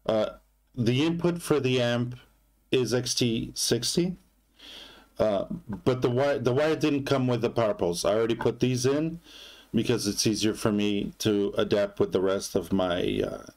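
A man giving a casual product demonstration as a reviewer, speaking haltingly in short phrases. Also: medium pitch, lively intonation, darkish tone.

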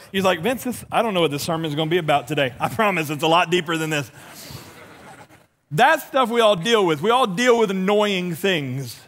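Middle-aged pastor w a lisp, kinda high voice, joking around on stage, acts out little convos. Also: male voice, fairly bright tone. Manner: storytelling with acted-out dialogue, self-deprecating; walks and gestures while preaching. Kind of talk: humorous sermon. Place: large church stage.

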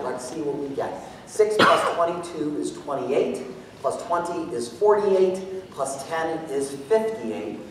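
A man's voice: fairly high pitch, slight background noise. A middle-aged man presenting a maths magic act on stage in a playful, theatrical, showman-like way. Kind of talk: live stage show patter. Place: auditorium stage. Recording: echoey hall recorded from far off.

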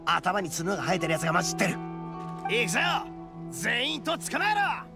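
Cocky rough oni boss from an anime game, big pitch swings, music behind. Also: male voice, sing-song, moderate pace, heavily acted. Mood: confident. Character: brash, confident young male oni gang leader. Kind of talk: Japanese anime game voice acting. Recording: clear background music and game sounds.

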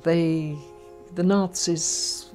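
An older woman from Germany giving documentary interview testimony, measured and reflective, with hesitations. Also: fairly low pitch, steady tone, darkish tone, soft background music. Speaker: Holocaust survivor interviewee. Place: book-lined study indoors.

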